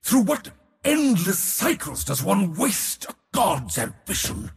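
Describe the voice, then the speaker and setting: A boastful, arrogant god of war in theatrical video game style. The delivery is slow and grandiose, swooping dramatically from low growls to high battle cries, with pompous declamation throughout. It rings out in a long reverberant hall. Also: male voice, wildly swooping pitch, vocal fry, exaggerated voice. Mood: grave, resolute.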